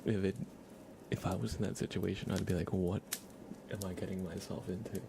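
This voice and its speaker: A man hosting an ASMR livestream, chatting informally in a soft-spoken to whispered voice, rambling along. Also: low voice, flat delivery, brisk, creaky, background noise.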